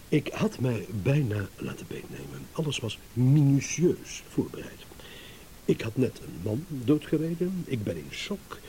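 A male actor plays a shrewd, self-satisfied husband and driver in a radio drama, building his accusation with theatrical pauses. His mellow voice swings widely in pitch, ironic and deliberate, over audible tape hiss. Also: natural voice. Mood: measured, rueful. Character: married motorist delivering a shrewd, self-satisfied accusing monologue. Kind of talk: Dutch radio play monologue. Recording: hissy old radio play recording.

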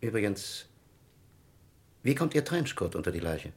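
Police captain, radio drama, deep, highly animated intonation, dry, probing, formal interrogation manner. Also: male voice, measured pace. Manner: interrogating, formal, pointed questions. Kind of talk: German crime radio play acting.

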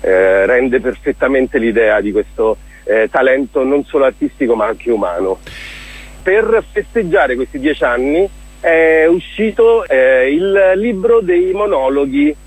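A man giving a casual radio interview as a guest, speaking in an enthusiastic, expressive way. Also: medium pitch, a little slow, husky, no room sound, poor audio. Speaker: interview guest, theatre director.

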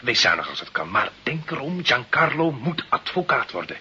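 An actor in a Dutch radio play playing a wily village crime boss, mock-humble and slyly defiant with authority. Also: male voice, medium pitch, sing-song, normal speed, bright, forceful tone, slightly rough, exaggerated voice. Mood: subdued. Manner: deferential on the surface, slyly defiant. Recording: muffled, hissy old radio recording.

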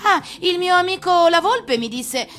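A small, wide-eyed boy prince from a storybook , acted by a woman. He is curious, sincere and a little hesitant, pitched high. Music sits behind the voice. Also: expressive, unhurried, a bit bright, full character voice. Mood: innocent, sincere.

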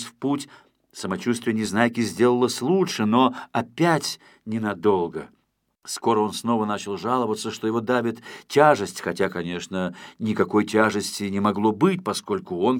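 A man narrating a children's story expressively as an audiobook reader. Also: lowish voice.

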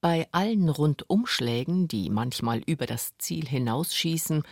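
A man's voice: on the high side, animated, unhurried, no echo, clean studio sound. A man narrating a scripted radio documentary. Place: dry studio.

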